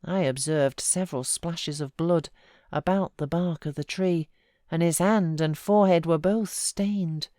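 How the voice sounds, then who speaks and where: A woman with a British accent giving an expressive, measured literary narration as an audiobook reader. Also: lowish voice, dry recording, studio quality. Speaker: audiobook narrator, voiceover artist.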